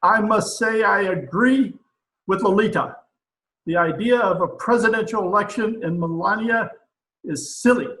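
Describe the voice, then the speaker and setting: A man's voice: high-pitched, normal speed, fairly bright tone, echoey room, a little hiss or hum, low-quality recording. A man playing a pompous, blustering dictator president in an old-time radio comedy recorded over a video call. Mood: indignant. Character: pompous, excitable dictator president of a banana republic.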